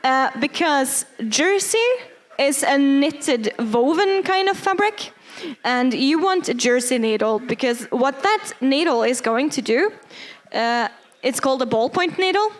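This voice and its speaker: A young woman who is not a native English speaker, giving a casual cosplay workshop, often pausing and searching for words. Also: high voice, animated. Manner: hesitant, many fillers, searching for words.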